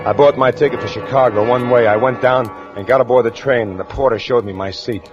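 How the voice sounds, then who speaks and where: A man's voice: mid-range voice, fairly even intonation, a little fast, lightly acted. Haunted criminal narrator male actor, stammering and intense, 1940s radio thriller; muffled vintage recording with music behind. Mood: brooding. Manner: hesitant, stammering, emotional delivery. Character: smitten male gunman, tense and haunted, narrating his crime. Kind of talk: 1940s radio acting, first-person narration and dialogue.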